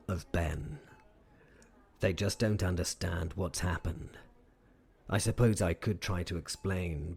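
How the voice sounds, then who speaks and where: Courteous, musing academic for audio drama monologue; low, husky, creaky voice, weary and dry. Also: male voice, darkish tone, full character voice. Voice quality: gravelly, husky. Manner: hesitant, musing, dryly formal. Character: weary, wry, courteous British male professor.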